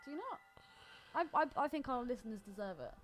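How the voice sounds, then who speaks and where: A woman's voice: a little high, expressive, quick pace. A British woman co-hosting a podcast, chatting casually and expressively about films in an informal, sweary way. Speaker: podcast co-host. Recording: music playing behind the voice. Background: music.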